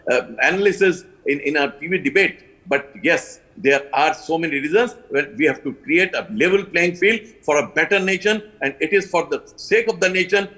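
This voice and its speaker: A man's voice: a little high, pushed and bright, slightly rough, rough recording. An older man with an Indian English accent, speaking earnestly and emphatically as a guest on a live TV panel and repeating his key phrases. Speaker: panel guest, alliance co-founder.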